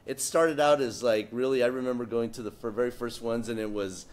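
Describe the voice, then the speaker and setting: A man on a discussion panel, spinning lively stories about his start and career in a mid-pitched, everyday voice. He often backs up and restarts mid-sentence, tossing in asides as he goes. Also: fairly quick. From San Diego, United States. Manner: animated storytelling with frequent restarts and asides. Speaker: panelist, artist. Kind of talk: panel conversation, anecdotes.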